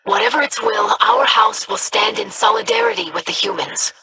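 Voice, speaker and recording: A woman's voice: mid-range voice, expressive, normal speed, heavy background noise, lightly acted. A woman voicing a hopeful, polite young alien girl in a video game, speaking earnestly.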